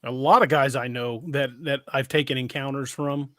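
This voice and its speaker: A man's voice: mid-range voice, fairly even intonation, moderate pace, a bit bright, no room sound, clean studio sound. A man chatting casually as a podcast co-host, joking and sometimes stumbling over his words. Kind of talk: casual conversational discussion.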